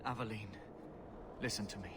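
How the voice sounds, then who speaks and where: An actor playing a gentle, resigned, wounded soldier husband in a video game, weak and breathy with pain. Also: male voice, on the low side, normal speed, very noisy, lightly acted. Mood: pleading, tender.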